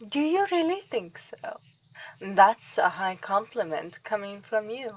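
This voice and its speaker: Vampire princess, played by a woman: slow, courtly, playful menace, ASMR drama, faint night insects behind. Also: mid-range voice, big pitch swings, slight background noise, low-quality recording. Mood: admiring, scheming. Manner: theatrical, formal, courtly phrasing. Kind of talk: ASMR roleplay acting.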